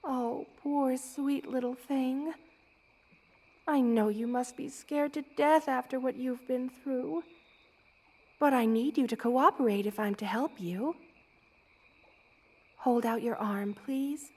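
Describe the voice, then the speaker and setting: Woman acting as a motherly spider queen, high voice, slow pace, doting, regal, protective, ASMR roleplay. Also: warm tone, heavily acted. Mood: tender, coaxing. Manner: tender, cooing, doting, with regal commands.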